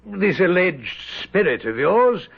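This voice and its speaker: Radio drama: pompous British general, husky and high-pitched, stern, formal, lo-fi vintage sound. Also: male voice, sing-song, slow, exaggerated voice. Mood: stern, scornful. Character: haughty British general presiding over a court-martial. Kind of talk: old-time radio drama acting. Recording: muffled lo-fi vintage radio recording.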